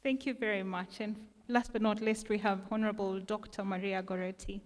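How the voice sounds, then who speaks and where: A woman's voice: mid-range voice, steady tone, fairly quick, big-room sound. A woman moderating a panel discussion, introducing guests enthusiastically.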